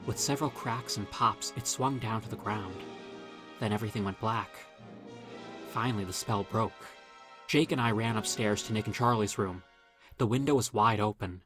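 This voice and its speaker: A man reading a scripted first-person horror story as narrator, in a dramatic, measured storytelling manner. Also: lowish voice, a bit bright, faint music behind.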